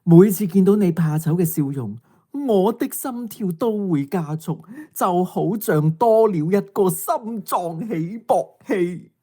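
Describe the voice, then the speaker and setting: A woman's voice: on the low side, expressive, takes it slowly, dark tone. A woman playing a mocking, bossy teenage schoolgirl with a Hong Kong Cantonese accent in an audio drama. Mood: mockingly reading aloud. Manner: taunting, theatrical delivery.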